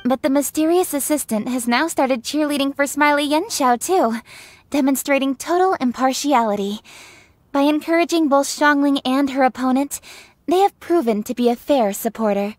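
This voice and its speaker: Woman as a high-voiced, formal legal adviser. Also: normal speed, no echo, lightly acted. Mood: composed, official. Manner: precise, formal, articulate. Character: poised, formal young female legal adviser. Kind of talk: video game cut-scene voice acting.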